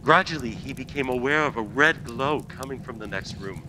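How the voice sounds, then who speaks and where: Expressive male radio-play narrator. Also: mid-range voice, moderate pace, background noise. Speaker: narrator and announcer.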